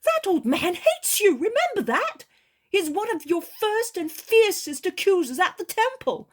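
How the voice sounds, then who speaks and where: A woman voicing an excitable male fisherman who talks out of breath and with urgency. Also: very high voice, moderate pace, pitched above the performer's own voice. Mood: urgent. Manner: urgent, agitated.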